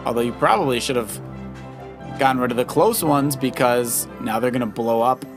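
A man's voice: mid-range voice, normal speed. A man, probably middle-aged, giving casual gameplay commentary and explaining moves like a coach. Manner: advising, explanatory. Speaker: father co-hosting a children's let's play. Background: video game music.

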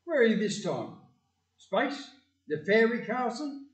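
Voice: mid-range voice, very animated, a little slow, distant mic. An actor playing a taunting, menacing child bully in an audio play. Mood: jeering, threatening. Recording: echoey, off-mic.

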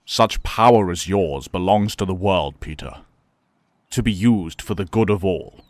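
A man playing a solemn, persuasive middle-aged professor and mentor in an audio drama, speaking in measured, formal phrases. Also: low voice, no room sound.

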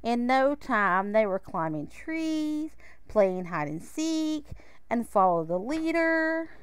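Unhurried, clear-voiced woman reading a storybook. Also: fairly high pitch, no echo. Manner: measured, expressive storytelling with pauses. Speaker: storybook reader. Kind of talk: children's picture-book read-aloud.

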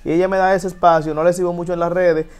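A man in his thirties with a Dominican accent, a DJ guest chatting casually about his life in a television studio interview, relaxed and prone to restarts. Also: high-pitched, very fast, smooth. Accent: Dominican Spanish. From Dominican Republic. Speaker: guest DJ and TV host. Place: TV studio set.

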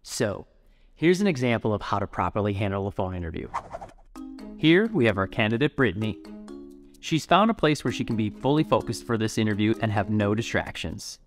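A man's voice: lowish voice, lively intonation, brisk, big-room sound. A man in his thirties with an American accent, presenting a scripted show as an upbeat, polished host. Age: 30s. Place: indoor office, close to camera. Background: background music.

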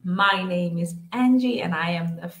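A woman in her forties hosting a live online interview, greeting viewers with upbeat, drawn-out welcomes over a webcam. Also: fairly low pitch, background noise. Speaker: host. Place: small room at home. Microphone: webcam on a video call. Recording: echoey room.

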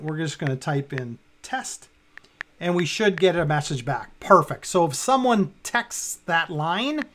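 A man in his fifties with a fairly high voice presents a software walkthrough. He speaks casually, talking himself through each step as he works on screen. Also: a little hiss or hum. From Canada. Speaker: tutorial presenter. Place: indoors, at a computer.

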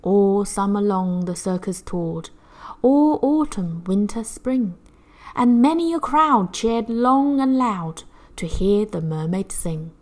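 A woman reads a rhyming picture book to children. Her delivery is theatrical and measured, following the rhythm closely, with highly animated pitch. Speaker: children's story reader.

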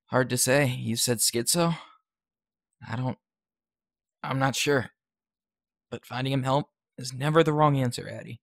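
A man's voice: mid-range voice, a little slow, creaky, long pauses, fairly bright tone, no room sound, studio quality. A man playing a hesitant, good-hearted teenage boy in an audio drama, understated in manner. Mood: hesitant, subdued.

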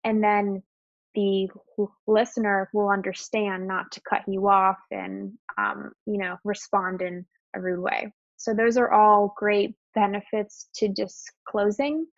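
A woman's voice: medium pitch, little pitch movement, unhurried, no room sound. Woman who stutters, dull webinar audio. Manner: stutters at times, open and conversational. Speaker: webinar co-presenter. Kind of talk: presenting slides in a webinar.

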